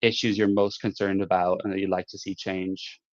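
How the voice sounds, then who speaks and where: Low-voiced male host, lo-fi sound. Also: level tone. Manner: measured, builds long questions. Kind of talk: live interview questions. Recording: dull lo-fi audio.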